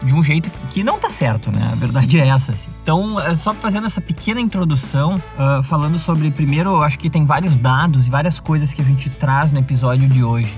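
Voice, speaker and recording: A man's voice: on the high side, expressive, quick pace, few pauses, a little mellow, faint music behind. A young man with a southern Brazilian gaúcho accent, hosting a podcast in a loose, conversational way, full of fillers and restarts. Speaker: podcast host. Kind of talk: casual podcast monologue.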